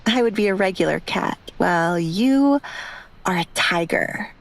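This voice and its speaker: A woman playing a reserved, polite young bride in an audio romance drama, soft-spoken and weary. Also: lowish voice, lively intonation, unhurried, creaky. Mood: demure, matter-of-fact.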